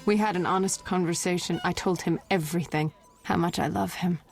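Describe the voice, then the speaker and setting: Lovestruck woman, creaky voice. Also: lowish voice, fairly even intonation, a little fast, soft background music. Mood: relieved, hopeful. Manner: heartfelt, earnest. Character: lovestruck, heartfelt woman pleading for her beloved. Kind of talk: video game dialogue acting.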